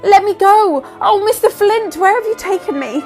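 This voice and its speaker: A woman's voice: very high voice, expressive, normal speed, a little mellow, soft background music. A woman playing a frightened, indignant young heiress in an old-time radio melodrama, theatrical and pleading. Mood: alarmed, indignant.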